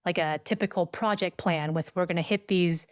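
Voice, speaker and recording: A woman's voice: lowish voice, steady tone, normal speed, clear, resonant tone, no echo, studio quality. A woman hosting an interview in a casual, informal chat style, telling anecdotes and voicing quoted remarks. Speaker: podcast host.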